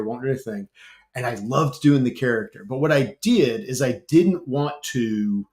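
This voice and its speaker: Laughing, animated man telling stories. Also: medium pitch. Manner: animated storyteller, laughs often, repeats himself. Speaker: voice actor. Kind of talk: casual panel chat.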